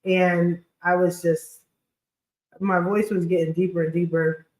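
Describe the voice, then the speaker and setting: A woman's voice: fairly low pitch, flat delivery, measured pace, darkish tone. Casting: female livestream host, scratchy voice, informal and blunt chatty delivery, recorded in an echoing space. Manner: informal, blunt, slangy talk. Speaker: podcast host and credit repair specialist. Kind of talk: casual chatty livestream monologue.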